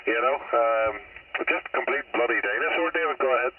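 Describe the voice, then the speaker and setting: A man's voice: mid-range voice, a little fast, recorded from a distance. A middle-aged man with a Northern Irish accent chatting casually and ramblingly over the radio, hesitant and wryly self-deprecating. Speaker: amateur radio operator. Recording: husky-edged radio link, tinny narrow band.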